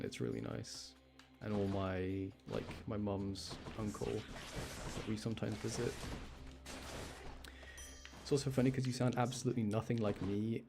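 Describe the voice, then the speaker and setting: A British man with a fairly low voice chats through a game stream over clearly audible music. He rambles and thinks aloud, mixing casual talk with commentary on his play. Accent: British, generic English. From English Midlands, UK. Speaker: live streamer playing a video game. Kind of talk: casual chat with gameplay commentary.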